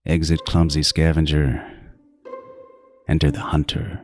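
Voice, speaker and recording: A man's voice: a bass voice, flat delivery, a little slow, a little mellow, faint music behind. An actor playing a meticulous, self-styled artist serial killer in a horror audio drama, savoring his words theatrically with swooping emphasis. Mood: proud, reflective. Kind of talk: first-person horror audio drama monologue.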